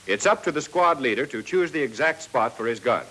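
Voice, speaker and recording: Male narrator, American, 1940s army training film style. Scripted, instructional, mid-pitched, speaks straight to the trainee. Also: expressive, brisk, bright, forceful tone, poor audio. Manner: instructional, addressing trainees directly.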